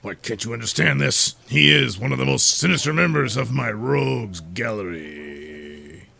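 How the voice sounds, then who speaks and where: A man's voice: mid-range voice, lively intonation, moderate pace, creaky, bright, forceful tone, slightly rough, exaggerated voice. A man playing a pompous, hot-tempered superhero vigilante with theatrical bluster in a comedic audio drama. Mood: indignant.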